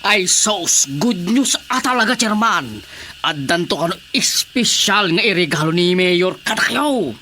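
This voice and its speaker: An actor playing an eager, flattering Filipino village councilor in a comedic radio drama, exclamatory and coaxing. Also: male voice, high-pitched, measured pace, heavily acted. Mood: excited. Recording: faint background noise.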